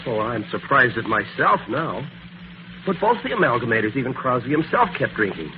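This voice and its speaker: A man's voice: mid-range voice, very animated, fairly quick, natural voice. An actor playing a detective's easygoing, plain-talking male sidekick in an old radio drama. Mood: puzzled, relieved. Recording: muffled, noisy old radio recording.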